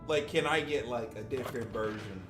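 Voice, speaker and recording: A man casually streaming a video game, rambling and thinking out loud as he plays. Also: mid-range voice, fairly quick. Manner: rambling, chatty, thinks aloud. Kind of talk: casual live-stream commentary. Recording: echoey room, game music behind. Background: game music.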